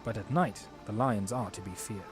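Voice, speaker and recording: A man playing a courteous, watchful young knight commander in an audio drama, speaking formally and earnestly in measured phrases. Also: on the low side, music in the background, close to an everyday voice. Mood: cautioning.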